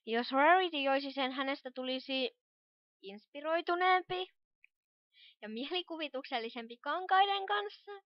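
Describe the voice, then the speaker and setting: A woman's voice: high voice, expressive, dry recording. A woman voicing a studious, cautious young pony magician in a cartoon fan dub, explaining things in a precise, earnest way. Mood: explanatory. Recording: dull lo-fi home recording.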